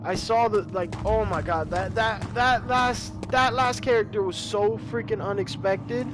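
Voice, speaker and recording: A man's voice: high-pitched, measured pace, background noise. Stuffy, rambling young man gamer. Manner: rambling, repeating words as he talks. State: stuffy from a cold. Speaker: gaming channel host. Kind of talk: casual let's-play commentary. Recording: game audio with loud music behind.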